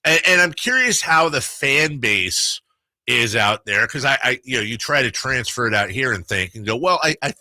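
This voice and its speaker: A male sports radio host with a very bright, forceful voice in a dry studio-like sound. He interviews casually, working his way through long, winding questions. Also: mid-range voice, lively intonation, moderate pace, no room sound. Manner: rambling, builds long hypothetical questions.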